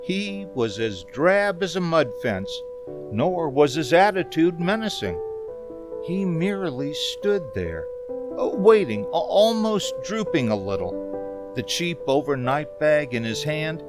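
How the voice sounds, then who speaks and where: An actor playing an uneasy, haunted motorist who tells his story in a hesitant, deliberate radio drama style. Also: on the high side, very animated, over music. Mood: uneasy, brooding. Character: uneasy, haunted male motorist recounting a strange journey. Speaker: narrator and lead actor. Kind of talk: radio drama monologue, old-time radio acting.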